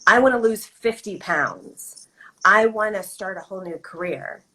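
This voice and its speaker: A woman hosting a live video chat, thoughtful and measured, asking her guest questions. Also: medium pitch, bright, forceful tone, rough recording. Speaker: host. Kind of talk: casual live-stream interview.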